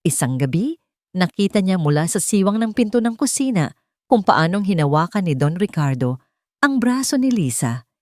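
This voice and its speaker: Casting: female story narrator, slightly low pitch, dramatic scripted delivery, dry studio-like sound. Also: big pitch swings, normal speed, darkish tone, no echo.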